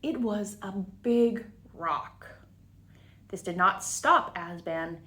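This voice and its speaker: A woman reading a storybook aloud to children, expressive and deliberate, with little asides to the listeners. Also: mid-range voice. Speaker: children's story time reader.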